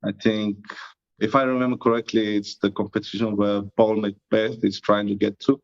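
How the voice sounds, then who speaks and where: Gravelly low-voiced Estonian man. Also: level tone. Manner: easygoing, unhurried, modest. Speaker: guest, disc golf YouTuber. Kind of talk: relaxed interview answers. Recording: muffled lo-fi audio.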